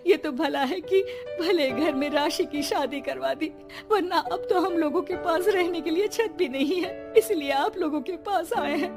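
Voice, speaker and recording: A woman's voice: very high-pitched, a little slow. A woman voicing a middle-aged mother who has lost everything, lamenting and regretful, in a cartoon story. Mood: tearful. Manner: pleading, lamenting. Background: background music.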